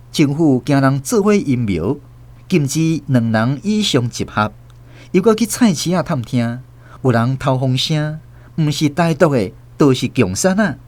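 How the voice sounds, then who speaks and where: Radio drama storyteller, male actor: dramatic, strongly varied intonation, mid pitch. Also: darkish tone. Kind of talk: radio drama narration in Taiwanese Hokkien, dramatic.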